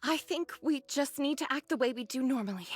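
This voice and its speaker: A woman's voice: high voice, brisk, husky, no room sound. A woman voicing an anxious, earnest young heroine in an anime-style game. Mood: earnest, explaining.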